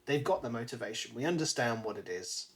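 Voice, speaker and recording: A British man co-hosting a podcast, discussing a book casually in an animated storytelling way. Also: mid-range voice, a little fast. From Britain. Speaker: podcast co-host.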